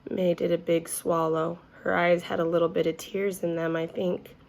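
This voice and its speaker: A woman's voice: fairly low pitch, flat delivery, normal speed, vocal fry, darkish tone, rough recording. A woman reading a children's story aloud expressively, as if to young listeners. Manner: addresses young listeners with questions.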